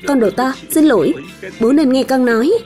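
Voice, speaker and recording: A woman's voice: high-pitched, normal speed, mellow, natural voice. A woman voicing a strict but caring father in a dubbed children's cartoon. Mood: gentle. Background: background music.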